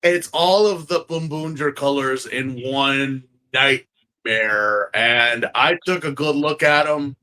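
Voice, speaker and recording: A man hosting a casual podcast review, animated and slangy, repeating phrases for emphasis. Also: mid-range voice, takes it slowly, rough recording. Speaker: podcast host.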